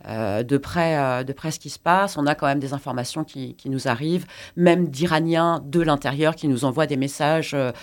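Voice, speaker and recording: A woman from France, an invited artist, answering interview questions haltingly with many fillers and restarts. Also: low voice. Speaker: guest artist. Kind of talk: studio interview.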